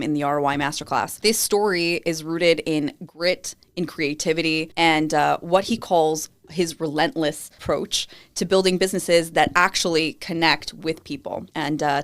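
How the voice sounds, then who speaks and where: A woman hosting an in-person podcast interview, upbeat and enthusiastic as she introduces her guest. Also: low-pitched. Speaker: podcast host. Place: co-working space conference room.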